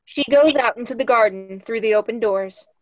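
A woman's voice: fairly high pitch, normal speed, no echo, lo-fi sound, faint music behind. A young woman reading stage directions as scripted narration for a play. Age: young adult. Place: small room at home, video call.

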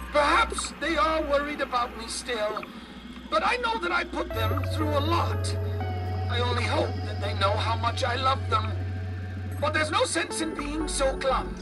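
A man's voice: very high-pitched, recorded from a distance. A man playing an eccentric, fatherly older scientist in a video game, testy and wistful, with theatrical, wordy, old-fashioned phrasing.

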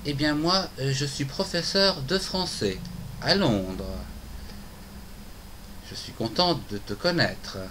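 A middle-aged man, a French teacher, speaking clear, simple phrases in a scripted lesson dialogue at home. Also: on the high side, animated, slow, long pauses. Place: home room with bookshelves, indoors. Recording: noisy home video.

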